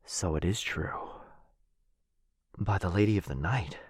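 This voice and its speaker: A man playing a protective vampire lord in an ASMR boyfriend roleplay, soft-spoken and tender with close whispers. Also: deep voice, measured pace, slightly rough, no echo. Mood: shaken. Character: protective young vampire lord, gentle but fierce.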